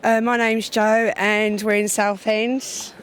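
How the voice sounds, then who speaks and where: A woman's voice: medium pitch, little pitch movement, takes it slowly. Blunt, disillusioned woman. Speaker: activist.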